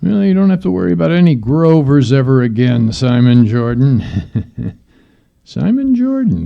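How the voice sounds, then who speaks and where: A gloating old miser for a radio play. The voice is creaky and unhurried, with big swoops in pitch. He sneers and blusters like a small-time tyrant. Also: mellow, lo-fi sound. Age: older. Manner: sneering, blustering, chuckling to himself. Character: mean, gloating old miser and crooked businessman.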